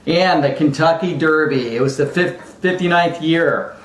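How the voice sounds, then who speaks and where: A man's voice: a little high, measured pace. A man giving an informal mock sports report, rambling and stumbling with self-deprecating asides. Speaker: amateur sportscaster, sidekick co-host. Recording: echoey room, faint background noise.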